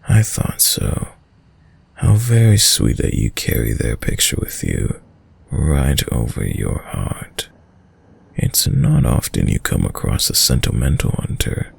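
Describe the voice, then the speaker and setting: A man playing a dominant, sadistic vampire lord in an intimate audio roleplay, speaking in a deep, breathy, gravelly voice with courtly, teasing menace. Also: a little mellow, heavily acted. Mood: mocking, silky. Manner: slow, silky, formal, teasing menace with drawn-out pauses.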